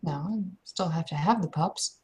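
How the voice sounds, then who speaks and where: A woman acts out a young werewolf shield maiden in a tabletop roleplaying game. Her voice is husky and a little low. She is proud and blunt, with halting but unyielding phrasing. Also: a little mellow. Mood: subdued, wary.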